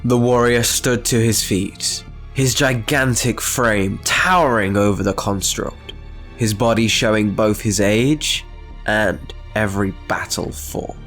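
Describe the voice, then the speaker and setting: A man's voice: lowish voice, fairly even intonation, slow pace, a bit bright. A man narrating a scripted story as the host of a channel. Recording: faint music behind the voice.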